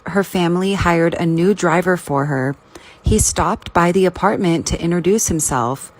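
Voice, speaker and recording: A woman narrating first-person stories from a script. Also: on the low side. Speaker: story narrator.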